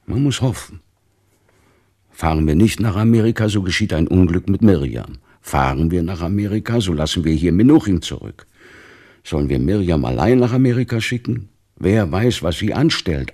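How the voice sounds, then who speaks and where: An actor playing a devout, stern, weary older father in a literary radio play, speaking gravely with a gravelly, husky voice. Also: deep voice, expressive, normal speed, mellow. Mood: resigned.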